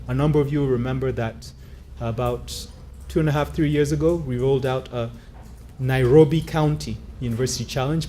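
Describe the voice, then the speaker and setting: Man, formal conference speaker, mellow voice, pauses with ums. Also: medium pitch. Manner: measured, with frequent fillers. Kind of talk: formal closing speech. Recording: faint background noise.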